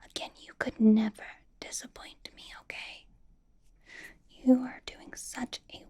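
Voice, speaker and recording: A possessive female vampire boss, played by a woman in an ASMR roleplay, whispering slowly and very breathily. She coaxes with condescending endearments, doting and controlling, with a sweet menace under the soft, mellow tone. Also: on the high side, level tone, breathy edge. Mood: coaxing, controlling. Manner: slow, coaxing, condescending endearments.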